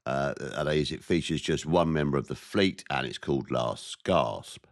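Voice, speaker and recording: A very deep-voiced man with a head cold, his voice nasal and stuffy as he narrates a warship game in a measured, plain-spoken way. The sound is close and dry, with no room around it. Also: no echo. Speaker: gameplay commentator. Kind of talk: naval game commentary.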